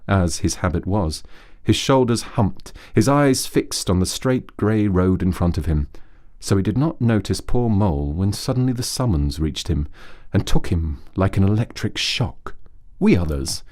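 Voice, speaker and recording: A man narrating a children's bedtime story as a storyteller, reading aloud in a measured, literary way. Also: sits low, dark tone.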